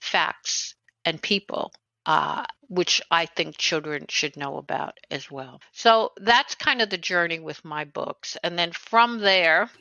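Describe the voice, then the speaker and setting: A woman's voice: on the low side, lively intonation, slow pace, creaky, pushed and bright, no room sound. An older woman from New City, a guest talking casually in an interview, with many fillers and restarts. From New York City. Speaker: guest, author and educator. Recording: dull lo-fi sound.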